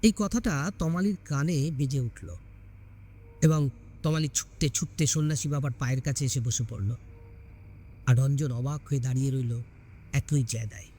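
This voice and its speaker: A man's voice: medium pitch, normal speed, long pauses, warm tone, husky. A man reading a scripted story aloud as a dramatic storyteller.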